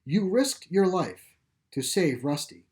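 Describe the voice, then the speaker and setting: A man reading a story plays a calm, gentle caretaker of an animal sanctuary, explaining things with assurance. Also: high voice, slow pace, pitched above the performer's own voice, heavily acted. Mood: gently persuasive. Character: calm, reassuring pipe-smoking male caretaker of animal sanctuary. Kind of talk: storybook character dialogue.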